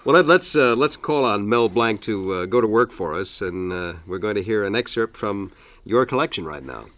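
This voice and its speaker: A man's voice: lowish voice, animated, normal speed, fairly bright tone. Enthusiastic chuckling male radio host. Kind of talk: radio interview hosting.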